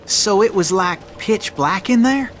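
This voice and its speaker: High, eager young saloon listener. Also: male voice, lively intonation, a little slow, fairly bright tone, a little hiss or hum. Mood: curious. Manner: eager, inquisitive.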